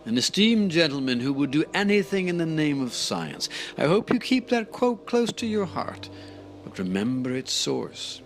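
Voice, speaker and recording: An actor playing a vindictive male doctor in an audio drama, delivering a measured, gloating, theatrical monologue. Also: on the high side, sing-song. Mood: pointed, vindictive. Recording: music behind the voice. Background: dramatic music.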